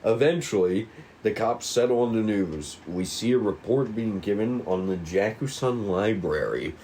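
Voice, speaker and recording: A man's voice: fairly low pitch, animated, normal speed, dark tone. A man narrating a table read, reading stage directions aloud on a slightly noisy recording.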